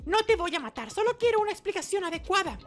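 A man's voice: very high-pitched, sing-song, fast talker, soft background music, pitched above the performer's own voice. A man voicing a stern, composed teenage girl in a cool, matter-of-fact way. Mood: cool reproach.

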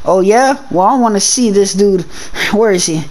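A woman's voice: mid-range voice, normal speed, a little mellow, close to an everyday voice. A woman voicing a hot-headed teenage demon boy with fire powers, talking in brash, combative slang in an improvised game roleplay. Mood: vengeful.

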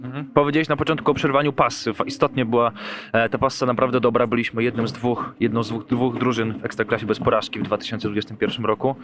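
A man working as a club TV reporter, asking post-match questions in a brisk, leading way. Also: mid-range voice, steady tone.

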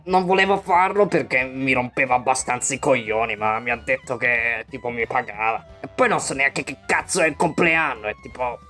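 A man's voice: mid-range voice, bright, forceful tone. A man leaving a crude, casual voice message in a slangy, grudging manner. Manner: slangy, grudging, slow-witted.

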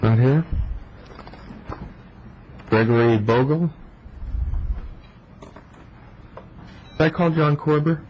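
Slow man chairing a council meeting. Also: fairly high pitch, husky, highs cut off. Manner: slow, unhurried, reads names. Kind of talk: calling speakers at council meeting. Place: city council chamber.

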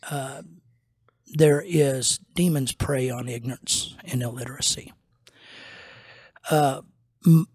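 Older man, pastor hosting a podcast, husky voice, dry close sound, rambling unscripted teaching. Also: medium pitch, dry recording. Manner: slow, rambling, many fillers and restarts. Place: small wood-paneled room indoors. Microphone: studio mic on a boom arm.

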